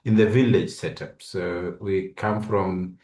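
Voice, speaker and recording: Man, Kenyan accent, low mellow voice, interview guest, explanatory answers. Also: steady tone, a little slow. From western Kenya. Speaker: guest, physicist and university lecturer.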